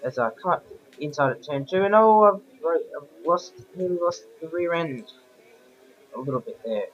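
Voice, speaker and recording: A man with a croaky voice from a sore throat, giving rambling, self-correcting casual commentary over racing game footage. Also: fairly high pitch, animated, normal speed. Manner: rambling, keeps correcting himself. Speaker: gameplay commentator. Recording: dull lo-fi voice-over with game music behind.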